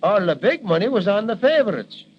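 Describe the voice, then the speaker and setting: A man's voice: high-pitched, big pitch swings, fairly quick, husky, heavily acted. An actor playing a folksy, streetwise policeman with colloquial tough talk, in old radio drama style. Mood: curious, chatty. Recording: dull, noisy old radio recording.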